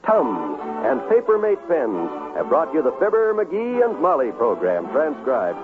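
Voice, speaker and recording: An American man announcing and reading a scripted sales pitch in 1950s radio style. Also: wildly swooping pitch, unhurried. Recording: muffled 1950s radio recording, faint music.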